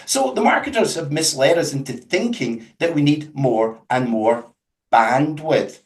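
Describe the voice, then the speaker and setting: A man's voice: a little high, expressive, measured pace, reverberant. A man from Scotland with a Scottish accent, explaining things in a conversational webinar with emphatic, jokey asides over a video call. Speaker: webinar guest speaker. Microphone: webcam with mic on a video call.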